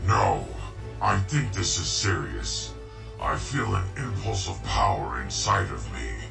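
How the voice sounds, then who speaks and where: A man's voice: a bass voice, sing-song, takes it slowly, vocal fry, echoey room, distant mic, over music, exaggerated voice. A man voicing a proud, brooding jackal villain in a fan comic dub, with a menacing, imperious, clipped delivery. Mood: strained.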